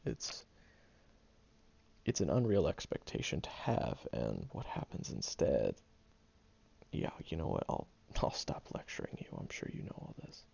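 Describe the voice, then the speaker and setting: A man's voice: rumbling low, huge pitch swings, unhurried, creaky, darkish tone, husky, heavily acted. A man voicing a prickly young man who secretly cares, speaking straight to the listener in an audio roleplay, grumbling and hesitant but tender underneath. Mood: earnest. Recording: dull lo-fi recording.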